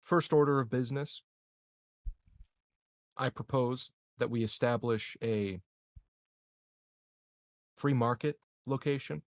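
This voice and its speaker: A man playing a tabletop roleplaying game, speaking in character in a formal, measured, deadpan way. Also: medium pitch, dry recording, studio quality.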